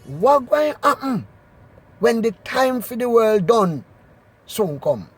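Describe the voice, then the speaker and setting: A man with a Jamaican accent doing an expressive, emphatic scripture reading in Patois. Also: extremely high pitch, slow, slightly rough, soft background music. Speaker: Bible reader.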